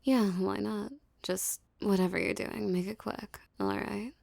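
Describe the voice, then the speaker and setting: A woman playing a dominant, seductive mafia boss in an ASMR roleplay, with a soft, teasing, condescending drawl and menacing self-assurance. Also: medium pitch, steady tone, a little slow, creaky. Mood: casual, confident.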